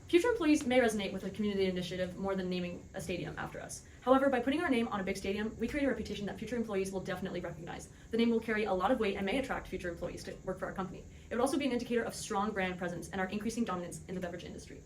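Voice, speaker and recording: A woman races through a scripted panel argument at a very fast pace. Her pitch is average, and faint noise sits behind her. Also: rough recording. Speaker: panelist in a business role play. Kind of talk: scripted debate presentation.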